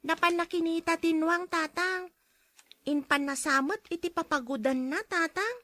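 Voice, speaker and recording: Woman acting a very high-voiced young daughter. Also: normal speed, fairly bright tone, dry recording. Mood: placating. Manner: plaintive, questioning. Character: concerned young daughter, gentle and questioning. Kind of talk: radio drama acting.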